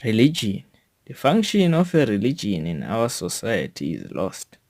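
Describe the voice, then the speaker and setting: A South African man reading a scripted educational monologue in a deliberate, formal manner, as a podcast host. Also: lowish voice, huge pitch swings, darkish tone.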